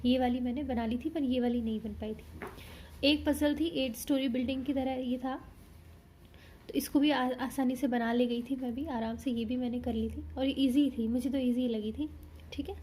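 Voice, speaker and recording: A young woman from India casually talking through her exam, a little hoarse from a sore throat, chatty and explanatory with frequent self-corrections. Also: high-pitched, steady tone, normal speed, mellow, a little hiss or hum. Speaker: exam candidate reviewing her paper. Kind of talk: casual vlog talk.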